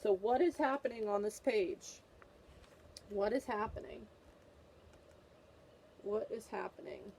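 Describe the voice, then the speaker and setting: A woman's voice: mid-range voice, takes it slowly, gravelly, long pauses, a little mellow, a little hiss or hum, low-quality recording. A woman teacher reading a picture book aloud to children, with an instructive manner, repeating questions to prompt her young listeners.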